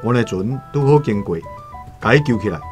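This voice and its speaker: An actor playing a reflective seafaring trader in a radio drama, with a Taiwanese accent and measured storytelling. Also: male voice, medium pitch, lively intonation, lightly acted. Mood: reflective, nostalgic. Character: male seafaring trader, reflective and matter-of-fact. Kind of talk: radio drama monologue and dialogue. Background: faint music.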